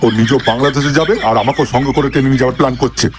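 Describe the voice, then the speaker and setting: A man's voice: medium pitch, steady tone, rapid-fire, over music, close to an everyday voice. A man voicing an exasperated, foul-mouthed middle-aged brother-in-law in a comic cartoon, scolding and sarcastic.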